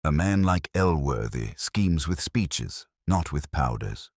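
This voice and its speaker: Male narrator as coolly commanding male detective, very low voice, crisp and deliberate, expressive intonation, mystery audiobook. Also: exaggerated voice. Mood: probing, thoughtful.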